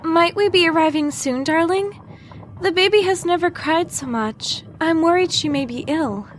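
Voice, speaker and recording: An actress playing a gentle young princess and anxious new mother in a fairytale audio play, tender in manner. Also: very high voice, moderate pace. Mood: worried, tender. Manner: tender, worried, pleading. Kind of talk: fairytale audio drama acting.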